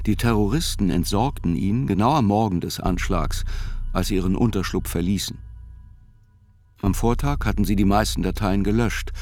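A man narrating a documentary radio drama as a sober chronicler, in a measured, precise and reflective way. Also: low voice, vocal fry, darkish tone. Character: male chronicler soberly reconstructing terror attacks. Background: faint music.

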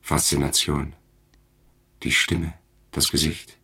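A brooding, spellbound man confesses in a radio play. The voice is extremely deep and husky, with slow, lingering delivery. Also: flat delivery, pushed and bright, full character voice. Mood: entranced. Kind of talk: radio drama acting.

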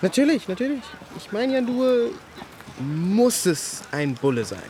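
A woman's voice: medium pitch, very animated, unhurried, warm tone, a little hiss or hum. A woman playing a sardonic, streetwise teenage friend in a radio drama, speaking in dry, mocking slang. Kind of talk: naturalistic German radio drama dialogue.

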